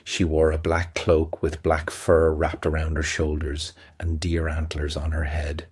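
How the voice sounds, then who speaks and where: A man narrating a fantasy audio play as a storyteller, with a measured, even delivery. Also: rumbling low, husky, dry recording, clean studio sound. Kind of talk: scripted fairy-tale narration.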